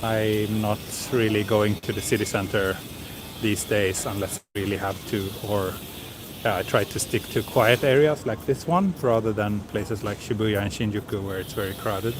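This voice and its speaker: A man's voice: on the low side, fairly even intonation, moderate pace. A man chatting casually while walking outdoors on a city street, reading viewer comments aloud with plenty of hesitant fillers. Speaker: livestream walking vlogger. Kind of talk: casual live-stream chat. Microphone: handheld camera with small recorder mic and wind cover. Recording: street noise around handheld mic. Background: city street ambience.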